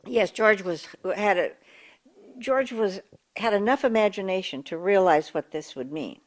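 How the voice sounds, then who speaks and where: An older woman with a big voice, recalling local government history in an oral history interview, pausing and restarting as she searches for words. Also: mid-range voice. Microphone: table mic in front of a coffee pot.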